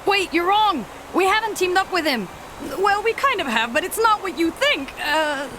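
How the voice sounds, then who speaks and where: A woman's voice: on the high side, animated, normal speed, background noise, lightly acted. An actress voicing a brave, earnest young female Smurf in a video game, speaking in a conversational way. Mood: alarmed. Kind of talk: video game cutscene acting.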